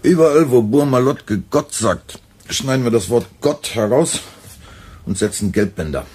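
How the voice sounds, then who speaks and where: An actor playing a young radio editor in a radio play, cool and laconic. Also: male voice, medium pitch, lively intonation, unhurried, darkish tone, husky. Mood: cool, matter-of-fact.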